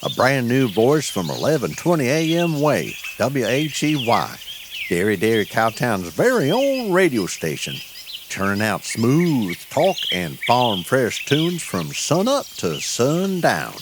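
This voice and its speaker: A man's voice: mid-range voice, sing-song, a little slow, background noise. A man playing a friendly, folksy cowboy mayor welcoming listeners to his little cow town. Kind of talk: scripted audio story.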